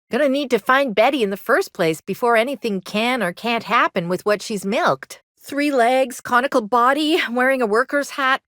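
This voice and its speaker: An actress playing an anxious, earnest mechanic in a video game, with a folksy Southern US drawl and an apologetic manner. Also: female voice, a little high, a bit bright, no room sound, studio quality, lightly acted. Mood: earnest, concerned. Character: anxious, earnest young colony mechanic woman fond of her robot.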